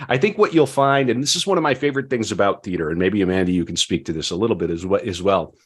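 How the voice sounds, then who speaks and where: A man with a low-pitched voice hosts a podcast. He phrases things deliberately and emphatically, moving between conversation and reading notes aloud. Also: expressive, breathy edge. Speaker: podcast host.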